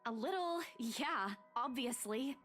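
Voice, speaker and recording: Nervous young saleswoman, hesitant, high, lively pitch. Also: faint music behind, full character voice. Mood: anxious. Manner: hesitant, halting. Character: anxious young female saleswoman, shaken and apologetic. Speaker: visual novel character.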